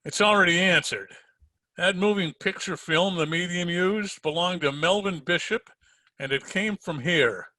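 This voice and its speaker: An actor playing a tough, hard-boiled private detective in 1940s radio drama style, sardonic and slangy. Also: male voice, sits high, unhurried, sharp tone, dry recording. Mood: cool, accusing.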